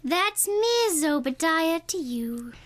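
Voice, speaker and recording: A woman's voice: extremely high pitch, very animated, takes it slowly, no echo, full character voice. A young woman playing a prim, smitten lady in a comic radio play. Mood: dreamy admiring. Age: young adult.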